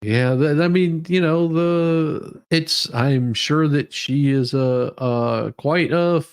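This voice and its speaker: A man giving casual, off-the-cuff commentary as a streamer, in a halting way that often trails off. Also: on the high side, smooth. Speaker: live streamer reacting to police footage.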